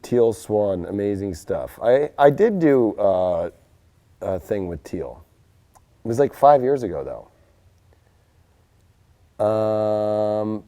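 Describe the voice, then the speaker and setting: A man with a raspy voice hosting a casual live tarot reading, rambling and excitable, with lots of fillers and sudden loud outbursts. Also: on the low side. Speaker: tarot reader and astrologer.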